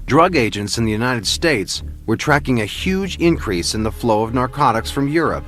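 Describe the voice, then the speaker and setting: A man narrating a documentary script in a measured, dramatic way. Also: medium pitch, expressive, fairly bright tone, faint music behind.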